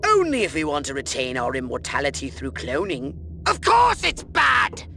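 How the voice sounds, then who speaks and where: A man's voice: on the high side, huge pitch swings, normal speed, gravelly, background noise, full character voice. A man voicing a haughty, exasperated alien scientist in a video game, with a pompous, condescending, theatrical delivery. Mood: scornful, exasperated. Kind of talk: video game cutscene acting. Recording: music behind.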